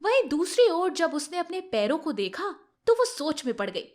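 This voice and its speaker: A woman's voice: extremely high pitch, big pitch swings, moderate pace, darkish tone. A woman narrating scripted moral tales for children as a storyteller.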